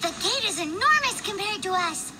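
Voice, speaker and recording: A tiny childlike fairy companion from an anime game. The voice is extremely high and squeaky, leaping about with cheeky excitement. Loud music plays behind it. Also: female voice, moderate pace. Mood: curious. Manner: speaks of herself in third person. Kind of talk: anime video game voice acting.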